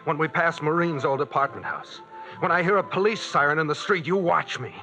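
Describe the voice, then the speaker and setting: An actor playing a morose, fearful husband haunted by guilt, brooding and pleading in old-time radio mystery style. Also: male voice, a little high, a little fast, bright, forceful tone, soft background music. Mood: tense, accusing. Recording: dull vintage radio recording.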